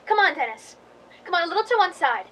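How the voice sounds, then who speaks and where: A woman's voice: very high voice, big pitch swings, fast, a bit bright, exaggerated voice. A woman playing a spoiled, gushing Hollywood movie queen in a radio drama, with a theatrical, affected manner. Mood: airily bossy.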